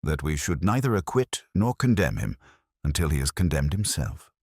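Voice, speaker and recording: A man reads as a detective inspector in a mystery story. The voice is extremely deep and dry in the recording, with a gentle, unhurried, shrewd manner and quiet amusement. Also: sing-song, breathy edge, no echo, exaggerated voice. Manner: gentle, dryly amused, deliberate.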